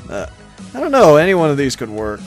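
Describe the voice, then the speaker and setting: A man's voice: on the high side, huge pitch swings, fairly quick. A young man giving casual, hesitant, rambling gameplay commentary as a let's player, easily amused by what he plays. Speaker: video game let's player. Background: game music.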